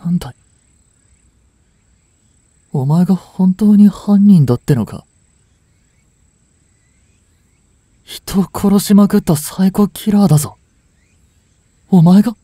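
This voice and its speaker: Casting: male actor as young cop boyfriend for audio drama, mellow and breathy, emotional, halting and slow. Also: sits high, dry recording, clean studio sound. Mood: shocked, panicked. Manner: halting, emotional delivery with sighs and pauses. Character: anguished young male police officer, devoted boyfriend. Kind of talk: dramatic Japanese situation voice acting.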